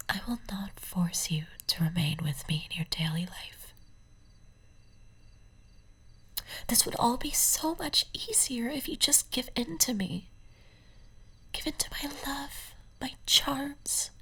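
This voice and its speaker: Obsessive yandere woman stalker, voiced by an actress, breathy whisper, unhurried, cooing and possessive, for ASMR roleplay. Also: mid-range voice, fairly bright tone, no echo, full character voice. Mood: yearning, coaxing. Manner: slow, intimate, cooing endearments.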